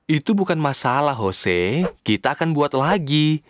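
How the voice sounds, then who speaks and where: A man's voice: a little high, sing-song, a little slow, a little hiss or hum. A man voicing a friendly, reassuring helper in a children's cartoon, with an encouraging, swooping delivery. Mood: helpful.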